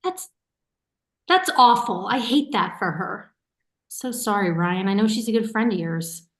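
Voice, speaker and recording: A woman acts out a caring sister in a stage play who likes to tease and is quick to get suspicious. She speaks in a natural, conversational way at a medium pitch. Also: expressive, a bit bright. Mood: concerned. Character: caring, teasing, suspicious sister of a young man. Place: remote online staged reading.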